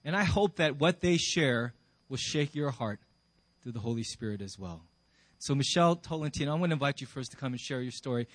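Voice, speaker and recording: A man's voice: mid-range voice, expressive, a little fast. A man speaking informally to a congregation as a pastor introducing guest speakers. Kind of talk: informal church address. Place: high school cafeteria used for church.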